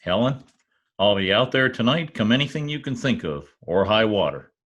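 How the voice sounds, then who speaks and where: A man's voice: fairly low pitch, big pitch swings, moderate pace, a bit bright, lo-fi sound. A man playing a glib, wisecracking private detective in an old-time radio drama. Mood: flippant. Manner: glib, bantering.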